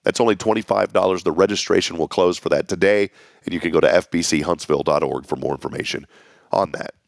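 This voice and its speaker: Hoarse low-voiced man hosting a morning show. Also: fast talker, no echo, studio quality. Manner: folksy, upbeat community announcer. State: losing his voice. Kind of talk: local morning show announcements. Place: small local TV studio.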